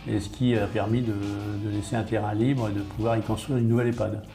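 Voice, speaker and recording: Male mayor in interview, gravelly low voice, explanatory and enumerating, roomy recording with guitar music behind. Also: steady tone, quick pace. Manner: matter-of-fact, enumerating, repeats and rephrases. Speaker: small-town mayor being interviewed. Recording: roomy, echoey sound under guitar music.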